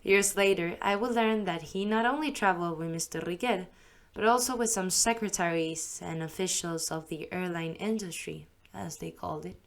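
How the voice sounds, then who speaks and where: A woman voice actress reads a first-person memoir audiobook in scripted narration. Her storytelling is warm and conversational, with lively asides. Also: lowish voice, moderate pace, creaky.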